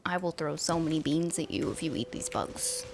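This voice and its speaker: A woman streaming a game with casual, playful live reactions, teasing and expressive. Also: sits low, moderate pace, very noisy. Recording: game music behind.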